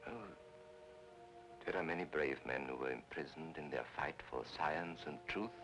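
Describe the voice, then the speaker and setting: An actor playing a suave, flattering con man in 1940s film noir style, speaking in a smooth, courtly, deliberate way. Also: extremely low pitch. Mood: solemn, confiding.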